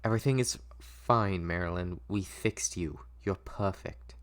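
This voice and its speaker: A man's voice: sits low, unhurried, smooth, no room sound. A man playing a controlling, obsessive old male doctor who coaxes and soothes in a patronizing way, in a dramatic script reading. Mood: soothing, possessive.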